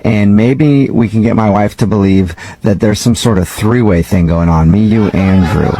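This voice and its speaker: Man acting a pushy, needy, low-voiced boss. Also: moderate pace, warm tone, slight background noise, natural voice. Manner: wheedling, insistent, self-absorbed. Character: pushy, needy married boss with office-affair schemes.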